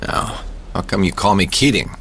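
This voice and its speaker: Gravelly, sardonic low-voiced man. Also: lively intonation, normal speed, fairly bright tone, slight background noise. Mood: wary. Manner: curt, sarcastic, suspicious. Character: wary, sardonic male jazz pianist. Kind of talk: radio drama acting.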